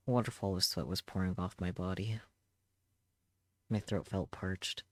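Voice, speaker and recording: A man voicing a tormented, despairing young man in a visual novel, with a hushed, drained delivery. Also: sits low, no echo, natural voice. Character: tormented young man narrating his own agony, despairing.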